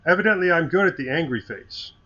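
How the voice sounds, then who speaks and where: Iowa guy chatting, calm and dry, kinda muffled audio. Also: on the high side, fast. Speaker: podcast co-host. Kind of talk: casual chat.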